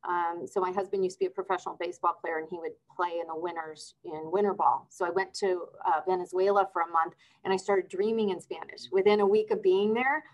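A woman's voice: fairly low pitch, steady tone, brisk, few pauses, low-quality recording. A middle-aged woman, born in Argentina, telling her life story as a guest on a video-call interview. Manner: upbeat, explanatory, storytelling. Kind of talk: casual interview talk. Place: office with window blinds.

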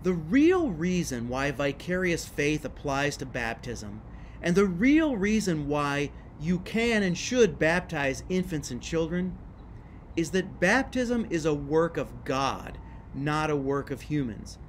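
A middle-aged man teaches church history straight to camera, pressing each point with emphasis. His voice sits a little high and swings widely in pitch. Faint outdoor ambience sits behind him on the porch. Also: takes it slowly, a little hiss or hum. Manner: emphatic, lively, gesturing with his hands. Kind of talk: scripted video lesson to camera.

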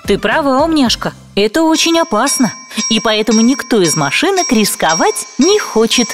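A woman's voice: a little high, big pitch swings, moderate pace. A woman voicing a kindly, patient grown-up guide in an educational cartoon for small children, encouraging and instructive. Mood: gentle. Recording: music behind the voice.

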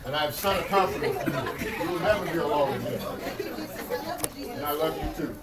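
A young performer acting as Jesus in a church skit, speaking calmly and tenderly. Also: mid-range voice, fairly quick, close to an everyday voice. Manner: calm, commanding, tender. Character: gentle loving Jesus, shepherd. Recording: echoey hall from far off, noisy crowd.

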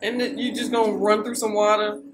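A woman in her thirties hosting a loud movie reaction at home, exclaiming and shouting at the screen. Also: mid-range voice, level tone, fairly quick, over music.

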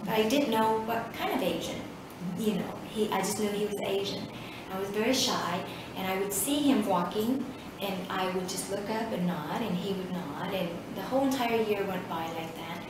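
A woman in her fifties, from Vietnam and a native Vietnamese speaker, telling her story in an oral history interview, hesitant and reflective with many pauses. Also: mid-range voice. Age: 50s. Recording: echoey room, distant mic, background noise.

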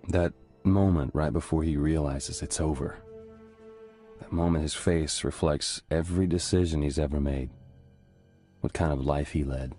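An actor playing a weary young American paratrooper squad leader, narrating his war reflectively in a somber, measured way. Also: very deep voice, dark tone. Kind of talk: scripted first-person war narration.

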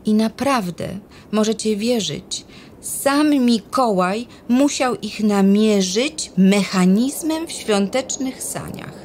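A woman's voice: medium pitch, lively intonation, takes it slowly, a little mellow, a little hiss or hum. A woman narrating a rhymed children's Christmas story expressively, sometimes dropping to a whisper.

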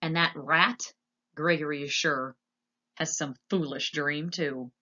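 A woman voicing a gruff, brooding old male jailer, solemn and declamatory, in a storybook reading. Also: deep voice, steady tone, slow, pushed and bright, lo-fi sound, full character voice. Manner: speaks of himself in third person, declamatory.

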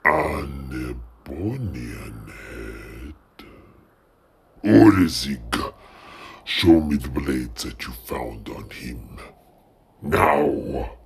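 Fantasy story nomad leader, played by a man, male, rock-bottom pitch, drawn-out delivery, stern, imperious, suspicious. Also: animated, vocal fry, exaggerated voice. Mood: stern, demanding.

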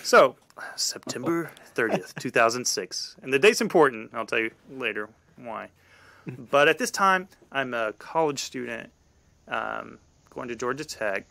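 A man in his thirties with a gravelly voice, telling a personal story conversationally as a podcast host. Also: mid-range voice, expressive, measured pace, bright, forceful tone, no room sound.

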